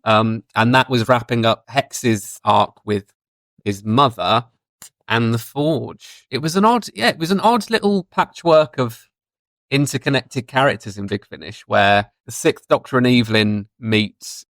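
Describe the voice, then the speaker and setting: A man's voice: mid-range voice, measured pace, a bit bright, no room sound. A man chatting casually and reflectively as a guest in a fan discussion on a podcast.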